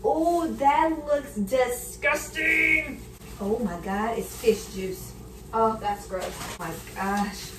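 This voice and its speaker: A young woman in her early twenties talks in a fairly high voice, casual and candid, as if to friends following along while she cooks. She is recorded at home in an echoey kitchen, a little away from the mic, with faint noise behind her. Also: steady tone, slow pace. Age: early 20s. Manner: informal, slangy, candid, occasionally swearing. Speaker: cooking vlogger. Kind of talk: casual chat to viewers while cooking. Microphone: camera on counter, a few feet away. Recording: roomy, distant kitchen recording with background noise.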